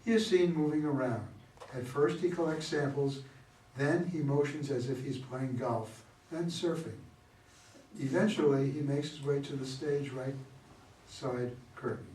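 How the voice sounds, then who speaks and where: A man's voice: medium pitch, a little slow, darkish tone. A man plainly reading stage directions aloud as the narrator of an amateur play reading. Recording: echoey room, distant mic, background noise.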